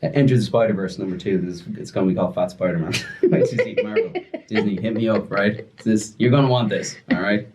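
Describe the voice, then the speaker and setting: A young man telling a story as a podcast guest, joking and rambling with quick asides. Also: on the low side, huge pitch swings, a little mellow, slight background noise. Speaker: podcast guest, music producer and DJ. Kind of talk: casual storytelling chat.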